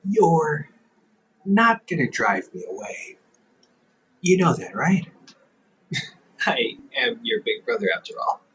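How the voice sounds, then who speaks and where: A young man playing a caring, gently stubborn older brother around twenty in an intimate audio roleplay, teasing softly with hesitant pauses. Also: fairly high pitch, sing-song, lo-fi sound, full character voice. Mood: gentle, stubborn. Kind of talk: intimate audio roleplay, one-sided conversation.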